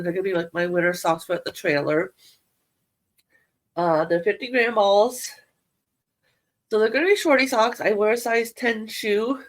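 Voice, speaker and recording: A middle-aged woman, nasal from a head cold, chatting casually and rambling a little as she shows her knitting to viewers. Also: mid-range voice. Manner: chatty, rambling, self-deprecating. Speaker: yarn crafting vlogger. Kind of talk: casual show-and-tell chat.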